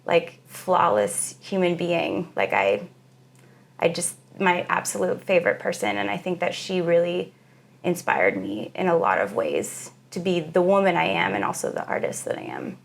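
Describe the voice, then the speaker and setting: Young woman, low gravelly voice, hesitant interview talk with fillers. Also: big pitch swings. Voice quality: very low female voice. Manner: reflective, halting, many fillers. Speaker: guest musician. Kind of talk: casual interview chat.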